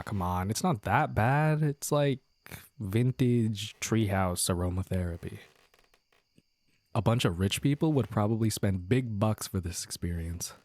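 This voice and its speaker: A man playing a playful, teasing young man in an intimate romantic audio roleplay, with halting banter and mock-dramatic asides. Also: fairly low pitch, darkish tone, dry recording. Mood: playful, nostalgic. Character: playful, teasing young man sneaking out with his childhood sweetheart.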